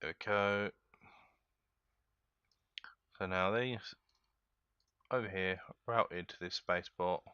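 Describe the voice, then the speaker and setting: A man hosting a casual game tutorial, walking viewers through each step in a relaxed, chatty way and often calling them guys. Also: deep voice, slow, long pauses, clear, resonant tone, no echo, dull audio. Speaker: gaming tutorial host.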